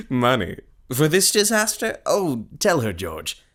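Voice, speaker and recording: A nasty scheming husband in a gothic radio drama, slow and mocking, voice swooping all over. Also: male voice, on the high side, huge pitch swings, fairly bright tone, full character voice. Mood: scornful, amused. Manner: theatrical, mocking, drawn-out delivery. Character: cruel, gloating, scheming husband in a family melodrama. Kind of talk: audio drama acting, gothic melodrama.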